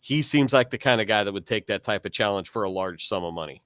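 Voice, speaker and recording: Low-voiced man in dry sports chat. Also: animated, fast, fairly bright tone, dry recording. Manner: rambling, restarts his points. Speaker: podcast co-host, coach. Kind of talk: casual sports talk.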